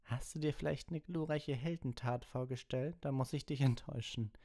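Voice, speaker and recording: A man's voice: medium pitch, moderate pace, gravelly, mellow, voice pushed up. A man voicing a sneering, sarcastic, menacing male school professor in an audiobook. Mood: sneering, bitter. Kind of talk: audiobook character reading.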